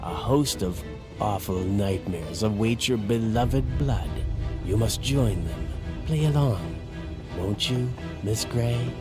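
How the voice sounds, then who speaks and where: Slow, low-voiced, vengeful game villain. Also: male voice, over loud music. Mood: taunting, menacing. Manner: slow, theatrical, taunting delivery. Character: sinister, vengeful man, a gifted painter, menacingly polite. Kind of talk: video game villain monologue.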